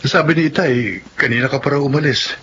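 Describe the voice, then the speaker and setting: A man's voice: medium pitch, brisk, muffled recording, lightly acted. An actor playing a troubled young husband and father in a radio drama, speaking in a grave, subdued way.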